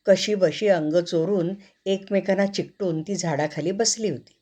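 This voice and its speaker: A woman's voice: on the low side, darkish tone. A woman storyteller reading a scripted moral tale for children.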